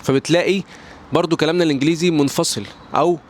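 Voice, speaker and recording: Young Egyptian guy, Egyptian accent, very fast rambling vlog talk, faint traffic outside. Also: on the high side, fairly even intonation. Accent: Egyptian Arabic. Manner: rambling, many restarts and fillers, mixes in English words. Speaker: English pronunciation tiktoker. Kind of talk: casual advice vlog. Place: at home near a balcony door.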